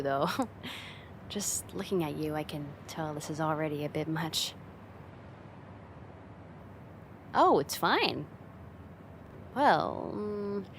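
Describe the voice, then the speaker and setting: A woman's voice: on the low side, background noise. A young woman playing a friend's teasing, affectionate older sister in a casual, intimate ASMR roleplay. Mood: tender, cosy. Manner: teasing, giggly, soft sighs, casual hesitant phrasing.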